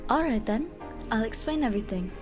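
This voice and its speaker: Proud, stern female illusionist voiced by an actress, fantasy audio drama, higher voice, formal theatrical delivery, loud music underneath. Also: animated, fairly quick, lightly acted. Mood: smug, explaining. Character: Zaya, proud illusionist woman, formerly bloodthirsty, stern but courteous.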